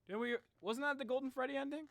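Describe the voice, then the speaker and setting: A man livestreaming in a casual, halting way, chatting informally to his viewers and muttering to himself. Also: very high-pitched, no room sound. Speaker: live streamer reacting to game videos.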